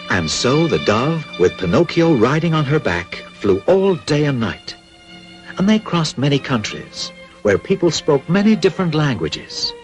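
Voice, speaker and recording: A man narrating a children's cartoon tale from a script, in a dramatic, measured storytelling manner. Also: a little high, sing-song, faint music behind.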